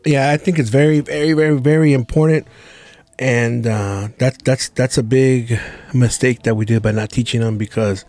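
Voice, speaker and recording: A man's voice: mid-range voice, darkish tone. A man in his forties who grew up in the Los Angeles area with Spanish as his first language, co-hosting a podcast in blunt, profane, rambling chat. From Los Angeles area, California. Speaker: podcast co-host. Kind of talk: casual chat.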